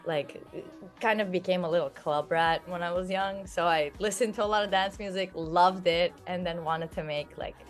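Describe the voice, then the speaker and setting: Chatty young woman, low-pitched voice. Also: fairly even intonation, normal speed, faint music behind. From Turkey. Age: 20s. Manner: chatty, filled with "like" and "um". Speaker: singer being interviewed. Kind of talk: casual interview talk.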